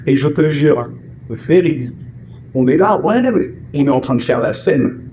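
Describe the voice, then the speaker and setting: Brief: French male voice actor, animated storyteller, raised but mellow voice, faint hum behind. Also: a little high, normal speed, dull audio. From France, family from Martinique. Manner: animated storyteller acting out quoted lines. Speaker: voice actor and singer, guest. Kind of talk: casual interview chat.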